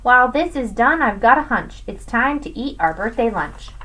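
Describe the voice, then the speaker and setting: A woman's voice: fairly high pitch, moderate pace. A woman in her thirties, a teacher, reading a rhyming children's picture book aloud expressively to students. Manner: animated, addressing children. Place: small home room with bookshelves. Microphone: webcam at desk distance.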